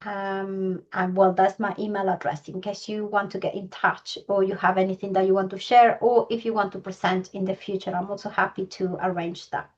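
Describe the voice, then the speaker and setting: A woman's voice: mid-range voice, flat delivery, moderate pace, hardly any pauses. A woman hosting a webinar and going over resources, hesitant with many ums and uhs. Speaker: webinar host. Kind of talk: webinar housekeeping. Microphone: video call. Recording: dull lo-fi video call audio.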